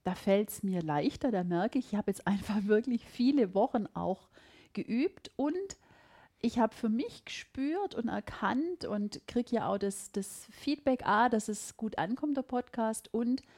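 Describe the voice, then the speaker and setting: A woman's voice: fairly high pitch, unhurried. A middle-aged woman with a Swabian accent, hosting a podcast in a casual, reflective conversation. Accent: Swabian German. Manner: lively, emphatic, reflective. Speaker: podcast host.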